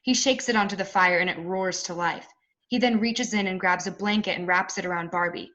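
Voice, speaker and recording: A woman's voice: mid-range voice, fairly even intonation, a little fast, fairly bright tone. A woman reading stage directions as scripted narration for a play reading.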